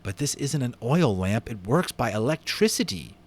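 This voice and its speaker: A man's voice: mid-range voice, very animated, moderate pace, a little mellow, slightly rough. A man voicing an eager, curious young boy in a storybook, speaking with friendly enthusiasm. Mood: earnest. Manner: enthusiastic, earnest.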